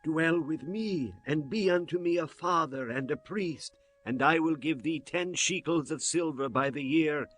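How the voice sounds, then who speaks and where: Casting: hospitable ancient Israelite householder, deliberate delivery, scripture drama. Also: male voice, on the high side, fairly bright tone. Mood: welcoming, measured.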